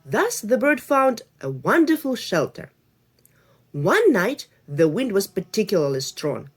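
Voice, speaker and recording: A woman reading a folk tale aloud for children, talking in an animated, expressive way. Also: medium pitch, a little slow, slightly rough. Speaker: storyteller.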